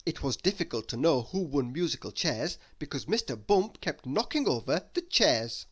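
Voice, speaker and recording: A man reading a children's story aloud as narrator, expressive and deliberate with dramatic emphasis. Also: a little high. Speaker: storybook reader.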